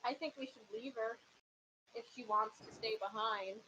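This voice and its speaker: A woman's voice: fairly high pitch, steady tone, normal speed, muffled recording, background noise. A woman playing a tabletop role-playing game online, chatting casually with the group. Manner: chatty, laughs easily. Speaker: player.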